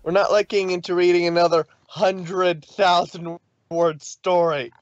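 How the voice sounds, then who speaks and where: A woman's voice: on the low side, fairly even intonation, measured pace, no room sound. A woman hosting and reading fan fiction in an informal way. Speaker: fan fiction reader and host. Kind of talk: narrated story reading and casual chat. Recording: dull, dry lo-fi microphone.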